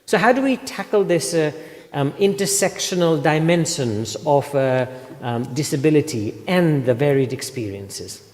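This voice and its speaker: A man's voice: fairly high pitch, lively intonation, normal speed, darkish tone. A man asking a question at an academic conference, speaking hesitantly with many fillers and restarts. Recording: long reverb of a lecture hall.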